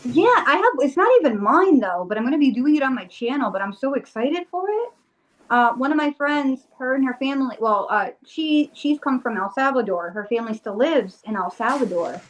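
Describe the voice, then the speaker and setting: A woman's voice: high-pitched, lively intonation, a little fast, low-quality recording. A woman with a Rhode Island accent, a guest chatting eagerly and casually, full of "like" fillers. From Rhode Island, USA. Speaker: guest, witch and paranormal investigator. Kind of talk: casual video-call interview chat.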